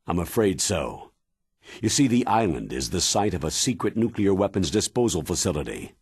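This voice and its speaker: An actor playing a stern military commander briefing an agent in a video game, grave and measured in manner. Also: male voice, sits low, very animated, darkish tone, no room sound, full character voice. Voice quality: husky, weighty. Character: stern, authoritative older military commander giving mission briefing. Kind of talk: dramatic video game cutscene acting.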